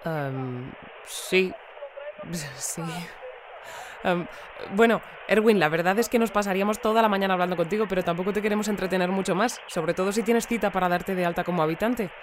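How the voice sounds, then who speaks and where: Actress for audio-drama bulletin presenter: polite, wordy, earnest female voice of medium pitch, slight noise behind. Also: fairly quick, close to an everyday voice. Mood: puzzled, courteous. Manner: courteous, wordy, explanatory. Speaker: news presenter and interviewer.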